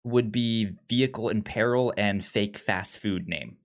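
Man, fairly low pitch, very unhurried pace, casual podcast chat, hesitant, repeated false starts. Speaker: podcast co-host. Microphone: remote online call.

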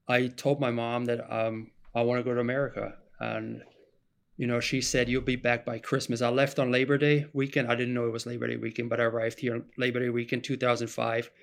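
A man, a podcast guest telling his story in a relaxed way, often restarting sentences. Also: medium pitch, vocal fry. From Germany. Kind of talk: casual podcast interview.

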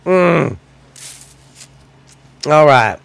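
A man's voice: on the high side, lively intonation, extremely slow, gravelly, dry recording. A man with an American accent hosting a solo podcast in casual, crude comic chat, blunt and loose, his voice slightly weak and stuffy. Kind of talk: casual, profane comedy chat.

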